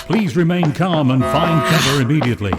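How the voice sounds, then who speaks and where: A man's voice: fairly high pitch, fairly quick, over loud music, lightly acted. A man voicing an impassive emergency announcement system with a formal, clipped delivery. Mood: impassive, urgent. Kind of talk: scripted public announcement.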